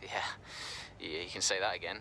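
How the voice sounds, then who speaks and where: A modest young racing driver in a video game. His voice is low but bright, with hesitant, good-natured conversational delivery over faint background noise. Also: steady tone, fairly quick, rough recording. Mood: casual.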